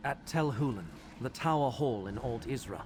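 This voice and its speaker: Game casting: composed priestly keeper of the dead, male actor, mid-pitched, measured, faint music underneath. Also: animated, darkish tone, close to an everyday voice. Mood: matter-of-fact. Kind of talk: video game character acting.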